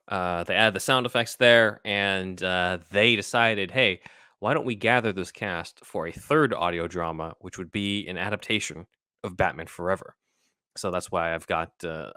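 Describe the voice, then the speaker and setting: A man with a low, crisp-edged voice hosts a podcast. He explains things casually and conversationally, in a very dry recording. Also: pushed and bright, no room sound. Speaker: podcast host.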